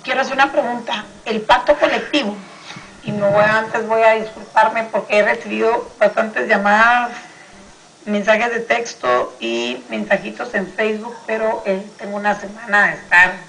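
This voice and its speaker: A hoarse woman, asking questions as the host of an interview. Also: a little high, steady tone, moderate pace, a bit bright, a little hiss or hum, poor audio. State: hoarse from sinusitis.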